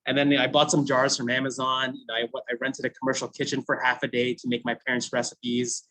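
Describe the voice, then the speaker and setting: A man's voice: mid-range voice, flat delivery, quick pace, bright, forceful tone, rough recording. American man, chatty, laughs often, founder telling his story. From United States. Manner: chatty, laughs often, many fillers like "you know". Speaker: food brand founder speaking to a class. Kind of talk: casual storytelling talk.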